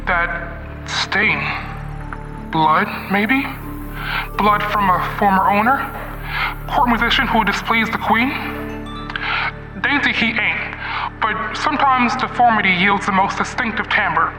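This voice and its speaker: A man acting a shady, domineering, fast-talking instrument dealer in an old-time radio mystery, boastful and slangy. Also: high-pitched. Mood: boastful, wary. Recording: roomy, distant, music underneath.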